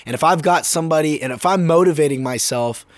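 Young man, hoarse from a sore throat, casual slangy podcast talk, rambling, dry close sound. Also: fairly high pitch, fast talker, dry recording. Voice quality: slightly hoarse. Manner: laid-back, slangy, rambling with fillers. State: sore throat, getting over being sick. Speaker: podcast host.

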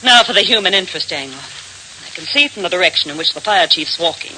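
A woman's voice: fairly low pitch, animated, fast, sharp tone, a little hiss or hum, low-quality recording. A woman playing a caustic, morose female accomplice, dry and cutting, in an old radio crime drama. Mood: scornful.

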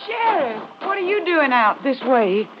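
An actress playing an emotional, defensive ranch wife with halting, plain rural speech in a 1950s radio drama. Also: female voice, high-pitched, sing-song, background noise. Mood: alarmed. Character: distraught, defensive ranch wife, newly widowed. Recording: muffled old radio recording.

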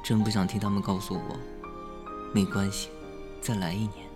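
A man's voice: lowish voice, flat delivery, normal speed, over music, close to an everyday voice. A young man acting a sullen, bitter, easily exasperated teenage boy in a Chinese audio drama. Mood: dejected.

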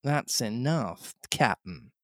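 Bloke doing a slow sly pirate leader, cheery n cajoling, fake polite, storybook voice. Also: male voice, mid-range voice, expressive, fairly bright tone, no room sound, studio quality. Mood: cheerily cajoling. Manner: drawn-out, mock-respectful, cajoling.

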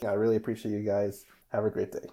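A man hosting a business podcast, giving a lively promotional intro and asking his guest questions. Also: lowish voice, fast. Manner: upbeat, promotional delivery. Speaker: podcast host. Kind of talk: casual podcast intro and interview.

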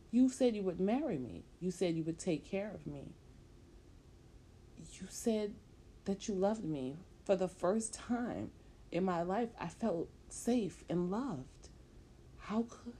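A woman doing a pleading young woman in a storybook, with a mellow voice. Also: lowish voice, brisk, hardly any pauses, slight background noise, lightly acted. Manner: pleading, emotional.

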